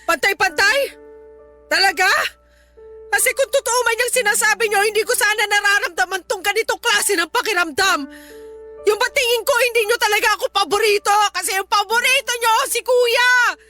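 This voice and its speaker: A resentful, dutiful daughter in a Filipino radio drama, with a very high, bright voice. She speaks with a Filipino accent and pleads emotionally, like someone who has given too much to her family. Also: brisk, faint music behind. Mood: tearful, bitter.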